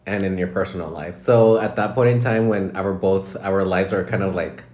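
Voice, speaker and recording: A man's voice: deep voice, fairly even intonation, moderate pace, a little mellow. A man co-hosting a casual podcast, telling candid personal stories and laughing often. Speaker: podcast co-host. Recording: echoey room.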